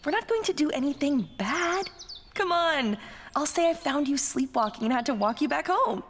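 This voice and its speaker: A woman's voice: high voice, lively intonation, moderate pace, in a large hall, slight background noise. A woman playing a bold, scheming teenage Sicilian girl in a radio play, theatrical, persuasive and playful. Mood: teasing, persuasive. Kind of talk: dramatic radio play acting.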